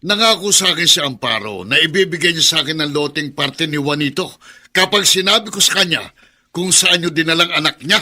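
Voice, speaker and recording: A man's voice: fairly high pitch, animated, a little fast, pushed and bright, heavily acted. A man playing a brazen, self-serving schemer in a Filipino radio drama. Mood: defensive, cynical.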